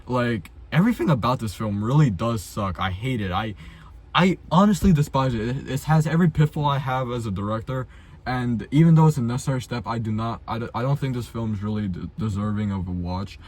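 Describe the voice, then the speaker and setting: A man's voice: medium pitch, a little fast, darkish tone. A young adult man chatting casually and unscripted as a video host, blunt, self-deprecating and full of slang and swearing. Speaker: amateur filmmaker, video host.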